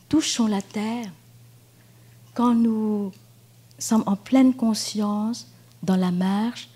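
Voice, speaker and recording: A Buddhist nun from Vietnam speaking French with a Vietnamese accent, giving a gentle, unhurried dharma talk at a retreat, with pauses and fillers. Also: female voice, mid-range voice, slightly rough. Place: meditation hall at a retreat.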